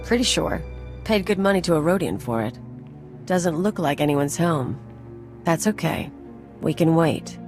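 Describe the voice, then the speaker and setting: A woman's voice: low-pitched, lively intonation, a little slow, pauses often, over music. A woman acting a tough, sardonic female mercenary in a video game, blunt and nonchalant. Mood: cocky.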